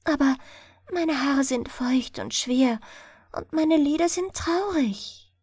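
A woman voicing a melancholy, bargaining female water spirit in a storybook, slow and wistful. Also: high voice, darkish tone, dry recording, clean studio sound, voice pushed up. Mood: sorrowful.

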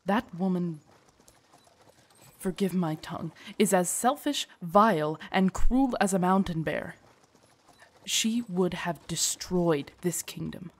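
Low, husky loyal lady knight, acted by a woman. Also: fairly even intonation, slow pace, full character voice. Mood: contemptuous. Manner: formal, deferential, earnest. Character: loyal, protective female knight guarding her queen. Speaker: voice actor in audio roleplay. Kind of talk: acted immersive audio drama monologue.

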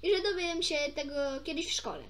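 A young woman with a high voice reads a children's story aloud. Her intonation moves a lot and her delivery is informal. She stumbles a little and slips into chatty asides. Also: sing-song, a little fast, a bit bright, slight background noise. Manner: informal, stumbles over words and self-corrects. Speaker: amateur storybook reader.

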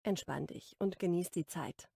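A woman's voice: lowish voice, moderate pace, creaky, breathy edge, no room sound, studio quality. A female audiobook reader voicing a caring, protective older sister with a motherly touch. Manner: gentle, motherly delivery. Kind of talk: audiobook dialogue reading.

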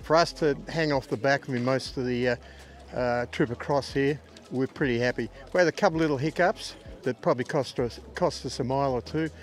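Man, brisk positive talk, mid pitch. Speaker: yacht skipper. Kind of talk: post-race interview.

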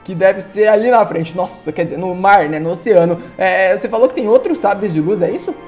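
A young man from Brazil, giving excitable, chatty gameplay commentary on a headset from his home gaming room, sounding congested from a cold. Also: high voice, brisk, soft background music. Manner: excitable, chatty, addresses viewers. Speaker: gaming YouTuber.

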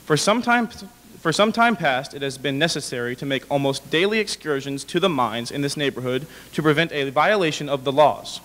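A young man with a fairly high voice reads formal prose aloud. He is a little halting, and his voice reverberates in a hall. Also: lively intonation, a bit bright. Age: young adult. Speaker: dramatic reader. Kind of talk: formal read-aloud of historical letter. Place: indoor hall with brick walls, small audience. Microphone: podium microphone.

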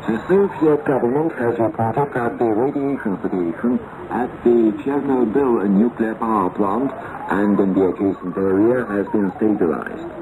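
A man's voice: lowish voice, moderate pace, phone-quality sound. A man reading a formal scripted radio news bulletin as a newsreader on 1980s shortwave. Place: radio studio, heard over shortwave. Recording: noisy band-limited 1980s shortwave radio.

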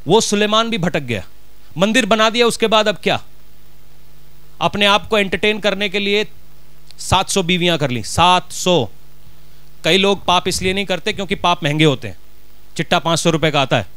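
High-voiced Punjabi pastor preaching. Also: male voice, a bit bright, clear, resonant tone, no room sound. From Punjab, India. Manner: emphatic preaching with rhetorical questions and Punjabi words. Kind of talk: sermon. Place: church stage, indoors. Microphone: handheld mic.

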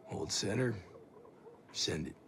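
A confident American special forces soldier in a video game cutscene. His voice has gritty fry and a forceful edge. He talks in a casual, measured military way, with faint ambience behind him. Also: lowish voice, expressive, a little hiss or hum, full character voice. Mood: gruff, laid-back.

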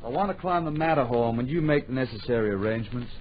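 A man's voice: mid-range voice, expressive, quick pace, over loud music, lightly acted. An actor in a radio drama playing a persistent, earnest young man who wants to write adventure books. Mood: earnest, polite. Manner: earnest, deferential. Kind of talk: old radio drama acting. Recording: dull, hissy old radio recording.

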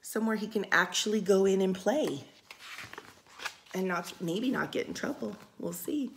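A woman reading a picture book aloud for storytime, expressive and sing-song, with casual asides. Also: mid-range voice, normal speed, gravelly, a little hiss or hum. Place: indoors, room by a window.

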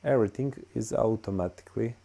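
A man with a Bosnian accent, whose native tongue is Bosnian, walks through a software tutorial in English, pausing often with "uh" and "all right". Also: lowish voice, gravelly, slightly rough. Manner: halting, many "uh" and "all right" fillers. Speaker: tutorial host. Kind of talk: screen-recorded software tutorial.

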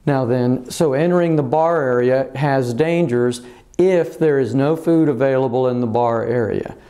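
An older man, an attorney, explaining the law to camera in a measured way with frequent pauses, recorded on a lapel mic in an office. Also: medium pitch, dark tone. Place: office with bookshelves, indoors.

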